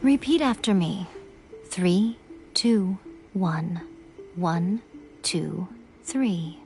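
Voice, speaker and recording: A woman's voice: on the low side, extremely slow, a little mellow. A woman playing a self-assured, dryly matter-of-fact shrine maiden in a video game. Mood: brisk, reassuring. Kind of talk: video game character acting. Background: background music.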